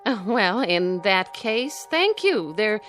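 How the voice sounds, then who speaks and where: An actress playing a fussy, self-pitying middle-aged daughter in a radio drama, with a prim, complaining manner. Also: female voice, mid-range voice, sing-song, slow pace, fairly bright tone, soft background music. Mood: uneasy, polite.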